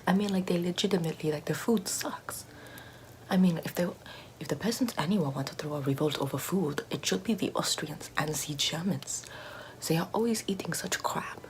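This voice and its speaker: A woman's voice: fairly low pitch, a little fast, slight background noise, close to an everyday voice, poor audio. A woman whispering as a vain, pompous aristocratic lady doing makeup in an ASMR roleplay, in a haughty, self-admiring, gossipy way.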